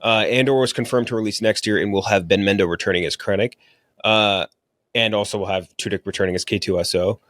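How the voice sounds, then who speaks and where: A man talks at a quick clip in a lowish voice, close and dry on the recording. He is a podcast co-host giving opinions on movies and shows in casual, slangy language with some swearing. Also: fairly even intonation, a bit bright, no room sound. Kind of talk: casual opinionated film and TV chat.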